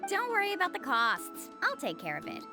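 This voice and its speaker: An actress playing an upbeat, headstrong young tour guide in a video game, breezy and assured. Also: female voice, very high-pitched, huge pitch swings, a little fast, music in the background, exaggerated voice.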